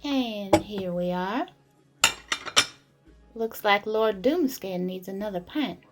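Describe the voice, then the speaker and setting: Actress as cheery fantasy barmaid, medium-pitched, slow welcoming patter, clear music and clinking dishes behind. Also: female voice, natural voice. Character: cheerful tavern serving woman, hospitable and bustling. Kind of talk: fantasy audio drama acting. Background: music and clinking tableware.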